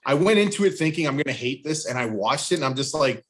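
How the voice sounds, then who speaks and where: A middle-aged man in casual panel conversation. He has a mid-pitched voice and talks informally, as a podcast panelist among other speakers. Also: lively intonation, fast, slight background noise.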